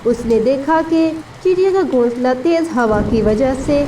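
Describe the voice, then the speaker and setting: A woman storyteller with a high voice reads a children's tale. She is slightly distant from the mic, with faint rain noise behind her. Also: lively intonation, a little slow, dark tone. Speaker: narrator. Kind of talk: scripted children's story narration.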